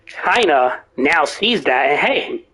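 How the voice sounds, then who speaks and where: A young man streaming a game, rambling and ranting, swearing now and then, his voice going hoarse. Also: fairly high pitch, very animated, takes it slowly, bright, forceful tone. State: voice getting hoarse. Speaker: gaming streamer. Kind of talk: rambling live-stream chat.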